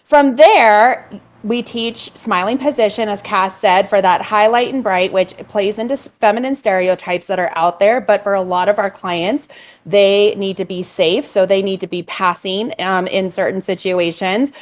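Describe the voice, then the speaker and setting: A woman voice coach from California with a little vocal fry, explaining vocal technique at length in a casual conversation, with frequent "um"s. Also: mid-range voice, moderate pace. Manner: fluent, explanatory, many "um"s.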